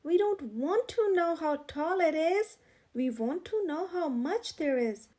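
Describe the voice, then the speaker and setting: A woman's voice: very high-pitched, lively intonation, unhurried, dark tone. A woman voicing a big brown male rooster in a storybook, fed up but proud, with an emphatic, exclaiming manner. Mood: exasperated, bossy.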